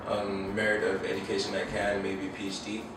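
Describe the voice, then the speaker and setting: A young man from Uganda gives measured, hesitant interview answers in a very deep voice. The sound is roomy and distant, with noise underneath. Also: monotone. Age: young adult. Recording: echoey room, heard from afar with noise.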